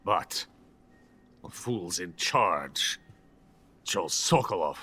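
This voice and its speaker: An actor playing a drunken, bitter, boastful old Soviet weapons scientist in a video game, theatrical, grandiose and ranting. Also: male voice, low voice, lively intonation, extremely slow, sharp tone, breathy edge, exaggerated voice. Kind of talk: dramatic video game acting.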